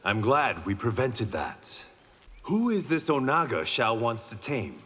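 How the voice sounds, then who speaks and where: A man's voice: mid-range voice, sing-song, measured pace, a bit bright, husky, big-room sound, heavily acted. An actor playing a stoic blind swordsman hero in a video game, with dry, confident wit. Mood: wry.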